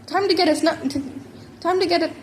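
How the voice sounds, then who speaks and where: Brash, big-hearted aunt for a story reading played by a female narrator: loud, teasing, high-pitched. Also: fairly even intonation, fast talker, slight background noise. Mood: big, cheerful. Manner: boisterous, teasing.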